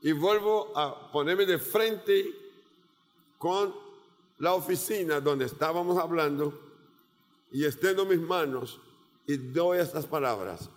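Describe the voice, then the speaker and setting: A man with a Portuguese accent, a Portuguese native speaker preaching a sermon as a missionary, speaking in slow, deliberate phrases with measured pauses. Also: fairly high pitch. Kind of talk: sermon with personal testimony. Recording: long reverb of a large hall.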